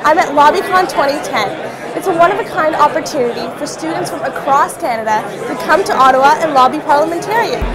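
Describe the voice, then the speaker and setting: A woman's voice: sits high, expressive, quick pace, over a noisy crowd, poor audio. A woman reporter delivering an on-location news report. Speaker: field reporter.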